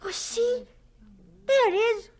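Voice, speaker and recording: A very high, slow-speaking young boy for radio drama. He is earnest and polite, hesitating over his words with a shy curiosity. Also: a little mellow. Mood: hesitant, curious. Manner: hesitant, halting, stammering.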